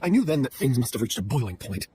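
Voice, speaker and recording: Game role: male actor as remorseful, timid troupe man, earnest delivery with wide pitch movement, some background noise. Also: big pitch swings, quick pace, mellow, natural voice. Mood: confessing. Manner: earnest, hesitant confessing. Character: guilt-ridden, cowardly male theatre troupe member. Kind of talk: dramatic video game acting.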